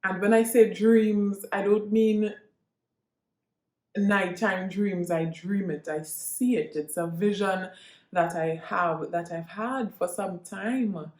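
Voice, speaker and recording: A Trinidadian woman talks straight to her viewers in a slow, earnest, reflective way. She often stops and repeats herself as she works out what to say. Her voice carries some echo from the room. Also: medium pitch, steady tone, darkish tone. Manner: earnest, halting, with frequent restarts and repeats. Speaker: vlogger. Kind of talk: reflective personal monologue to viewers. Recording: echoey room.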